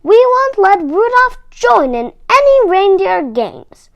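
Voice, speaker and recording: A woman's voice: very high-pitched, sing-song, slow, dry recording, highs cut off, voice pushed up, exaggerated voice. A woman voicing a stern male reindeer flying coach in a children's storybook, firm and emphatic.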